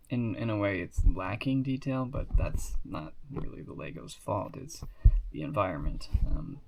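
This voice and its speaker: Sniffly man with a gravelly voice. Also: on the low side, a little hiss or hum. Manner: rambling, with many fillers and asides. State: sick and sniffly. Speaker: hobbyist reviewing toy building sets. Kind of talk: casual show-and-tell.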